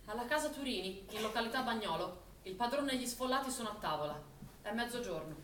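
An actress playing a solemn narrator of a wartime tragedy, with measured, urgent theatrical delivery. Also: female voice, on the high side, lively intonation, a bit bright, recorded from a distance. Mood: grave, urgent. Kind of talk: dramatic scripted stage narration. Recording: echoey, slightly noisy, off-mic recording.

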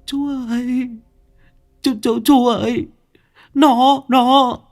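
A man's voice: extremely high pitch, flat delivery, drawn-out words, creaky, darkish tone, soft background music, pitched above the performer's own voice, full character voice. A man voicing a panicked, stammering fat young boy in a storybook ghost tale. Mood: terrified. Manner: stammering, shrieking.

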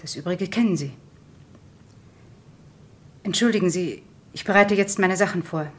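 An actress playing a composed woman doctor making a confession in a 1970s German radio drama, speaking in a measured, formal way. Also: lowish voice, slight background noise, natural voice. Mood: grave, confessing.

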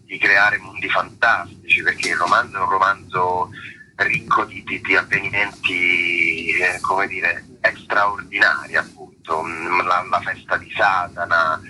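Deep, very bright-voiced man, explaining. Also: slight background noise, rough recording. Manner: halting, searching for words, reformulating. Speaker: stage actor presenting his play. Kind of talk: explanatory interview talk.

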